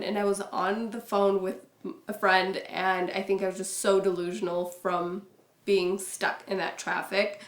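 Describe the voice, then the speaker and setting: Reserved young woman vlogger, chatty and low-key, recorded in an echoey room. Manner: soft-spoken, reserved, many fillers. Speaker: YouTuber. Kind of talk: casual chat to camera.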